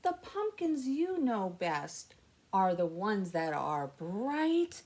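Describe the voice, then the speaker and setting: A woman hosting story time for young children, reading aloud with expressive emphasis and explaining things simply with questions to the listeners. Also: mid-range voice, takes it slowly.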